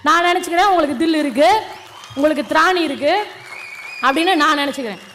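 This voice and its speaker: A woman's voice: high-pitched, fast talker, a bit bright, a faint crowd, slight background noise. A young woman with a Tamil Nadu accent giving a fiery, colloquial political stage speech, challenging and combative, throwing out rhetorical questions. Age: young adult. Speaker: political speaker.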